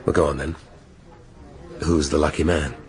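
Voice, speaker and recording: An actor playing a probing, wry police inspector in a radio drama, questioning tersely. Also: male voice, rumbling low, steady tone, normal speed. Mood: wry curiosity. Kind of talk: naturalistic British radio drama acting.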